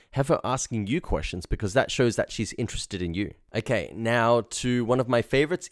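Low-voiced man coaching informally. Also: no echo, clean studio sound. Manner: blunt, slangy, acts out example lines. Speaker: dating confidence coach, show host. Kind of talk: informal instructional monologue.

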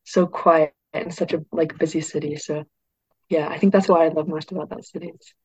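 A teenage girl from Northern England chatting eagerly about her football life, her speech loaded with "like". Her pitch stays level and even. The sound is dry and a little muffled, as over a video call. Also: fairly quick, slightly rough, dry recording. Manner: chatty, peppered with "like", excited. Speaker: youth football player. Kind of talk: casual interview chat.

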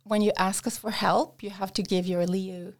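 Woman, university writing tutor, gravelly voice, halting and full of fillers, interview guest. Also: medium pitch, flat delivery, faint music behind. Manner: hesitant, many fillers and restarts. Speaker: academic English support tutor. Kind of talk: conversational interview answers.

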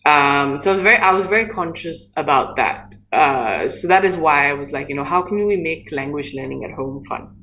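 A woman's voice: low voice, animated, moderate pace, hardly any pauses, fairly bright tone, rough recording. A woman guest in an interview who talks thoughtfully, often restarting her sentences, about her playgroup work. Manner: thoughtful, many restarts and fillers. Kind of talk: conversational interview.

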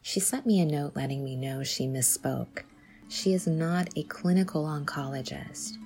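A middle-aged woman hosting a podcast, reading a scripted introduction in an earnest, measured way. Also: low-pitched, a little mellow, faint music behind. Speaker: podcast host.

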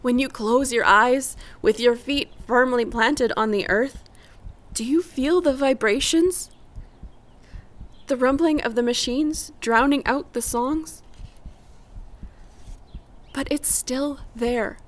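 A woman acting a wronged, resolute middle-aged wife in a poetic audio drama monologue, intense and deliberate. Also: high-pitched. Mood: wistful, haunted. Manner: slow, deliberate, intense delivery.

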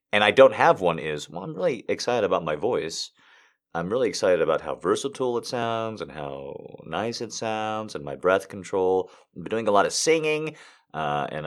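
Brief: male voice actor chatting with viewers, very low pitch, lively up-and-down delivery, self-deprecating. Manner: rambling and self-deprecating, with many restarts. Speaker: voice actor answering viewer questions. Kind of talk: casual chat.

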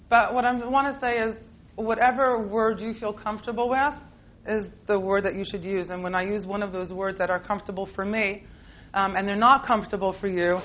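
A woman's voice: mid-range voice, dull audio, a little hiss or hum. A woman with an American accent, who grew up in Israel, teaching a class in a chatty, playful way with lots of fillers and laughs. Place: classroom with a live group.

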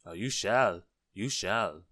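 A man acts out a small, cheeky storybook goblin. The voice is lifted high and teases in bright exclamations, with a close, dry sound. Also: very animated, moderate pace, no room sound. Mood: gleeful. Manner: teasing, exclamatory. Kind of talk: children's storybook character voice.

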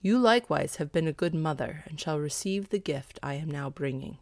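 Woman as a solemn, kindly old priest, low-toned. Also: lively intonation, normal speed, no echo, clean studio sound. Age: older. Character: kindly old bald male priest, solemn messenger of gods.

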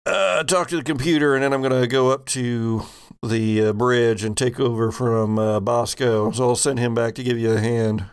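A man playing a steady, businesslike spaceship captain in a game, giving orders haltingly with many pauses. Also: mid-range voice, smooth, lightly acted. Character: salvage ship captain, male, steady, businesslike, hesitant.

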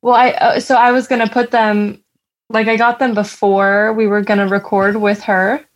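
A woman's voice: medium pitch, little pitch movement, moderate pace, highs cut off. A woman co-hosting a podcast in casual, easygoing, giggly conversation. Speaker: podcast co-host. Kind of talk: casual chat.

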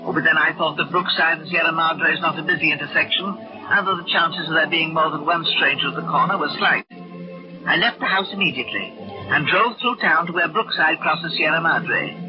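An actress plays an anxious, polite American housewife in a vintage radio thriller. Her delivery is earnest, breathless and dramatic. The broadcast sounds muffled, with loud music behind it. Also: low-pitched, a little fast, natural voice. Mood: earnest narrating. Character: anxious, polite housewife narrating her own suspense tale. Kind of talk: 1950s radio drama acting, first-person narration.

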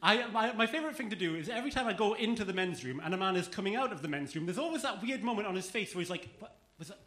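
Brief: male stand-up, bright tense voice, wry storytelling, echoey club. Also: sits high, lively intonation, very fast, slight background noise. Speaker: stand-up comedian. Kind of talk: stand-up set. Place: comedy club stage.

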